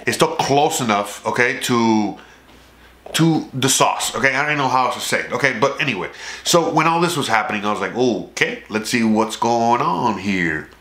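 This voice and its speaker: A Cuban American man from South Florida delivers a swearing, meandering monologue to his viewers, checking in with frequent "okay?" asides. His voice is bright and sharp, at a middle pitch for a man. The room around him is echoey. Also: slight background noise. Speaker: YouTube channel host. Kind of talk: casual profane monologue to camera.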